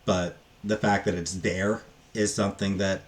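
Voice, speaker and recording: A man with a very low-pitched voice talks through a book review off the cuff. His delivery is casual and rambling, with halting phrases that tend to trail off. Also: flat delivery.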